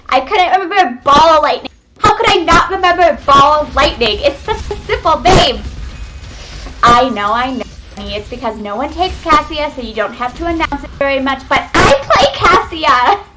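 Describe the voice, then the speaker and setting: A young woman giving excitable, chatty live commentary on a video game match, talking to her viewers from home on a webcam microphone. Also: sits high, normal speed. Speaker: esports caster. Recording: webcam mic with faint noise.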